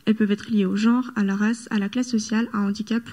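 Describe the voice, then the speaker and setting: A woman's voice: medium pitch, fairly even intonation, quick pace, mellow. A young woman presenting a youth radio show, reading from a script. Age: young adult. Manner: reads from a script.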